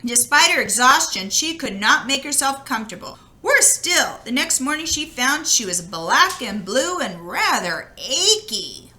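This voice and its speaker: A woman reading a children's story aloud expressively, with drawn-out, dramatic emphasis. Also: high-pitched, very animated, sharp tone. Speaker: storybook reader. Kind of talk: expressive children's read-aloud, with casual asides.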